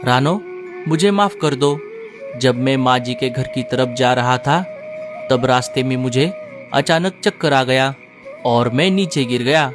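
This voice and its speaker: A man's voice: medium pitch, lightly acted. A man voicing an apologetic, reassuring husband bird in a children's cartoon, earnest and explanatory.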